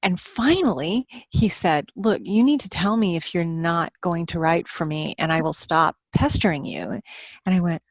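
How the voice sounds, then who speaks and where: A woman's voice: on the low side, normal speed, no echo. A woman author telling anecdotes in a casual podcast conversation.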